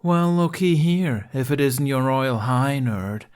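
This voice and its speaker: A man's voice: mid-range voice, slow pace, darkish tone, smooth, pitched above the performer's own voice, close to an everyday voice. A man voicing a vain, boastful young male warrior in a storybook with a mocking, swaggering manner. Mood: smug, boastful.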